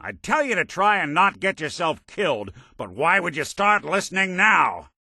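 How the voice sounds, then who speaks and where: A man's voice: fairly low pitch, big pitch swings, normal speed, fairly bright tone, no echo, clean studio sound, exaggerated voice. A male actor playing a world-weary, principled android detective in a video game, with a wry, folksy hardboiled way of talking and old-fashioned slang. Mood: stern, fed up. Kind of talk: video game companion dialogue, noir detective.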